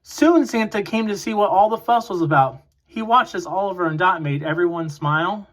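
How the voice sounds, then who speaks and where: A man with a fairly high voice narrates a children's storybook. He phrases each line deliberately, in the style of a read-aloud for young listeners. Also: expressive, a bit bright. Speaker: children's picture book reader.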